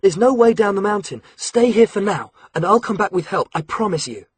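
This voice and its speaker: A man voicing a determined teenage boy spy, talking in a tense, hurried half-whisper. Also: sits high, animated, breathy edge, voice pushed up, heavily acted. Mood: firm.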